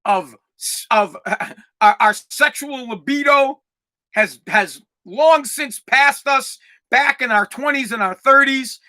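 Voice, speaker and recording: A man in his forties hosting a comedic solo podcast, ranting in a profane, rambling way with lots of stammered restarts and bursts of shouting. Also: very high voice, slow pace. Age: 40s. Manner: exaggerated, swings into loud shouting. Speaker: podcast host.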